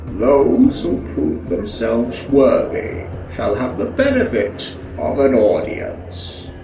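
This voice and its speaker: Very slow, echoing ancient oracle. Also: male voice, medium pitch, wildly swooping pitch. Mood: solemn, grand. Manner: stately, ceremonious, drawn-out. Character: solemn, imposing ancient male oracle. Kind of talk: fantasy audio drama acting. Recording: echoey, distant, music underneath. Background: music.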